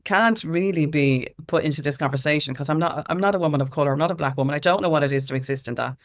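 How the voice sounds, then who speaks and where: A woman's voice: low-pitched, fast talker. Irish woman talking animatedly as guest. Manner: informal, emphatic, acts out quotes from others. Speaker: interview guest, music consultant and campaigner. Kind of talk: conversational interview with animated anecdotes.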